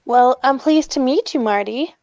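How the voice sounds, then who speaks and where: A woman playing a smitten, soothing 1950s teenage girl in a script read-through, gentle and coy. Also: sits high, moderate pace, lo-fi sound. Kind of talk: amateur table read of a film script.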